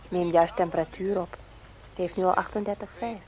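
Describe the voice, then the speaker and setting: Brisk, caring radio-play wife played by an actress. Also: female voice, lowish voice, steady tone, darkish tone, natural voice, poor audio. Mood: soothing. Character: brisk, caring wife and mother, gently nagging. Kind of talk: Dutch radio play acting, domestic scene.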